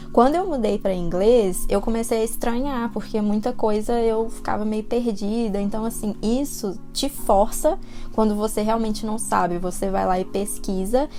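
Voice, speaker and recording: A woman's voice: on the high side, creaky, warm tone. A young Brazilian woman with a Brazilian Portuguese accent, vlogging in a chatty, slangy way straight to her viewers. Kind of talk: casual vlog talk to camera. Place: lives in Ireland, home recording.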